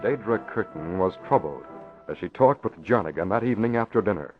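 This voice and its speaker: A man's voice: fairly low pitch, animated, brisk. A man giving scripted narration for an old-time radio drama. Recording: muffled old radio with music. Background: music.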